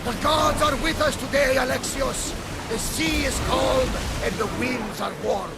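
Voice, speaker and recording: Gravelly, hearty Greek sailor. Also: male voice, very high-pitched, unhurried, full character voice. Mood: warm, content. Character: rugged, loyal male Greek ship's lieutenant. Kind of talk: dramatic video game acting. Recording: noisy sea battle, waves and crowd shouting.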